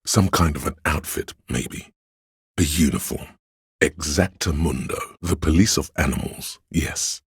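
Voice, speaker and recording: Grave omniscient inner-voice narrator for a detective game: extremely low, heavily rasped, measured and literary, close dry sound. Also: male voice, dry recording, exaggerated voice. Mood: intimate, musing. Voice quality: very deep gravelly husky rasp.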